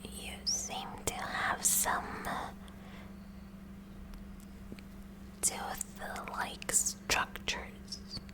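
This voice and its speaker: A woman whispering an ASMR roleplay as a kooky, eagerly curious high school science club president, with an inquisitive, hushed manner. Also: natural voice. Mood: focused, curious. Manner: inquisitive, hushed, slow and deliberate examining.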